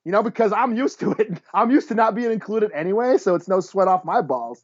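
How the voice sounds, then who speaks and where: A man's voice: sits high, expressive, a little fast, lo-fi sound. A man co-hosting a podcast, chatting casually in a blunt, profane way. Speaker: podcast co-host. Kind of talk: casual chat.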